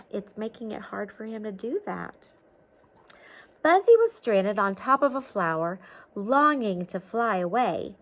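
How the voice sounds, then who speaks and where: A woman gives an expressive read-aloud for young children in a fairly high voice that rises and falls widely. She takes her time, stepping out of the story to coax listeners with simple questions. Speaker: teacher reading a picture book to children.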